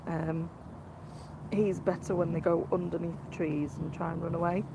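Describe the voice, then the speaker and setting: A hoarse woman, chatting quietly to viewers on a fishing live stream outdoors by a lake. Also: fairly low pitch, level tone, moderate pace, background noise. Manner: speaks quietly, greets viewers. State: hoarse from a cold. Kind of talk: casual live-stream chat.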